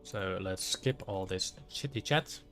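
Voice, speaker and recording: Low-voiced Dutch man narrating gameplay. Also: fairly bright tone, faint music behind. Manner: halting, many fillers, searches for words. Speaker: streamer. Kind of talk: casual gameplay commentary.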